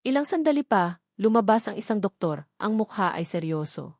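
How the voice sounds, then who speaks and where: A woman with a Filipino accent tells a scripted story in a dramatic style. Her pitch sits in the middle for a woman, and the sound is dry, with little room to it. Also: very animated, normal speed, darkish tone, dry recording. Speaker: audiobook narrator.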